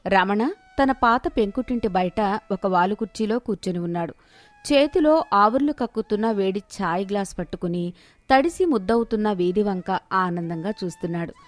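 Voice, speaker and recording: A woman's voice: medium pitch, animated, normal speed. A woman reading a scripted moral story as its narrator.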